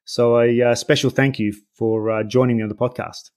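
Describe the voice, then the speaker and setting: A man from Bosnia and Herzegovina hosts a podcast in English, his second language. He reads a measured, scripted introduction, then asks questions in a loose way full of pauses and fillers. His pitch sits at an everyday middle level. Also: steady tone, a little mellow. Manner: measured, hesitant with many fillers when unscripted. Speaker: podcast host. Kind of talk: scripted guest introduction and interview.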